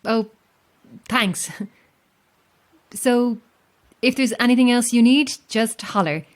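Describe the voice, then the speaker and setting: A woman playing a solitary, calm lighthouse keeper with an Irish accent in a horror audio drama, speaking in a measured, understated way. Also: on the high side. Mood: friendly, helpful. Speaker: lighthouse keeper and narrator of a tale.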